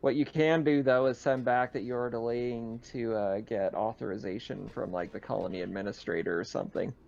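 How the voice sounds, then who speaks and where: A man running a tabletop roleplaying game as game master, narrating casually in halting, improvised phrases. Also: mid-range voice, steady tone, gravelly, a little mellow, highs cut off.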